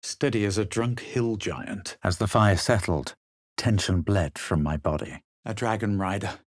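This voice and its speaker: An actor playing a self-assured, haughty fantasy adventurer in a video game, husky and dramatic in delivery. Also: male voice, on the low side, no echo, clean studio sound, natural voice. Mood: grave, measured. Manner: measured, dramatic, superior. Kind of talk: scripted game character lines, first-person narration and spell incantations.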